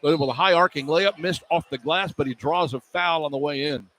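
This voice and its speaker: A man calling live basketball play-by-play for radio in clipped running descriptions that can rise into excited calls. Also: a little high, fairly quick. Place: indoor arena at a live game. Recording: live radio broadcast with arena noise. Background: arena noise.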